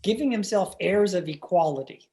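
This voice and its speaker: Male actor as snobbish young gentleman suitor, genteel and haughty, very lively intonation, old-time radio style. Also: high-pitched, normal speed. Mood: indignant.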